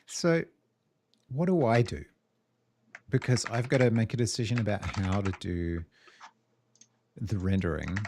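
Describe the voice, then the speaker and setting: A man's voice: on the low side, a little slow, long pauses, background noise. A man with a New Zealand accent, streaming while he codes, chatting with viewers and thinking aloud. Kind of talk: live coding stream commentary. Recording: keyboard typing clicks behind.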